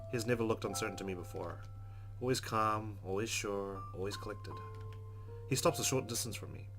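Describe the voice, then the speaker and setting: A man doing a let's play, reading a game's narration aloud in an expressive, measured, dramatic way. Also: on the low side. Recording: game music under the voice.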